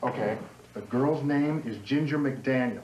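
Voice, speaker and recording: An actor playing a matter-of-fact state patrol officer in a TV movie drama. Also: male voice, medium pitch, measured pace, a little mellow, highs cut off, close to an everyday voice. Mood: businesslike. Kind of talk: 1990s TV movie drama acting.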